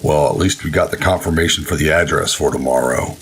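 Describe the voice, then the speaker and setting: An actor playing a somber, guilt-ridden older brother and military veteran in a gritty crime audio drama. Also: male voice, extremely low pitch, a little fast, a bit bright, breathy edge, soft background music, heavily acted. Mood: somber, uneasy.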